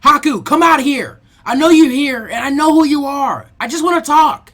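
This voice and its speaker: A man voicing a brash, earnest teenage ninja boy who is loud, insistent and pleading in a fan fiction audiobook. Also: very high-pitched, moderate pace, voice pushed up. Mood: yelling.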